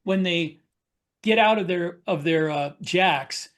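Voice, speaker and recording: A man with a noticeably high-pitched voice chats as a podcast panelist. His delivery is halting and rambling, as in a loose group discussion. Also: a bit bright.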